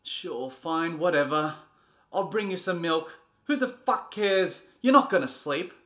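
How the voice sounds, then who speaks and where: Theatrical man reading a storybook, very expressive pitch. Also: measured pace. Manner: theatrical, swinging from soft bedtime tone to exasperated outbursts. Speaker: presenter reading a picture book. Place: small furnished nursery room indoors.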